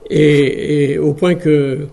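Gravelly, mellow-voiced man giving a talk. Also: fairly high pitch, fairly even intonation, drawn-out words. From France, Paris suburbs. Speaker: speaker giving an evening talk. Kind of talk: public talk on bilingual education.

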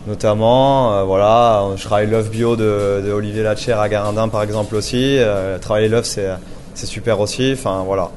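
A man's voice: on the low side, fairly even intonation, normal speed, a little mellow, a little hiss or hum. A man in his twenties, a chef from Paris, answering interview questions with many fillers and restarts. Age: 20s.

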